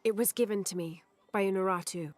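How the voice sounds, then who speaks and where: A woman playing a determined, kind young adventurer in a video game, speaking calmly. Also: mid-range voice, a little fast, dry recording, natural voice. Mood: calm, resolute. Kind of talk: video game dialogue acting.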